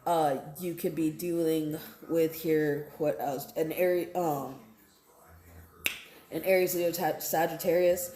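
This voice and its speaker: A woman's voice: low voice, fairly even intonation, slow, dark tone, reverberant, slight background noise. A woman giving a casual, improvised tarot reading, talking directly to her viewers in a conversational, repetitive way and often checking in with them. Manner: conversational, repeats phrases, often checks in with "right?" and "okay?". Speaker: tarot card reader.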